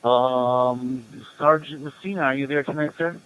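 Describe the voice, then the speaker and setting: A man acting as net control on a community radio net, giving informal announcements and roll calls in a halting, procedural way. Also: medium pitch, steady tone, a bit bright, clean tone, muffled recording.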